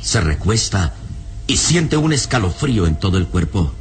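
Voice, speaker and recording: A man's voice: lowish voice, big pitch swings, moderate pace. A man narrating an old Latin American radio serial in a solemn, theatrical, ominous style over dramatic music.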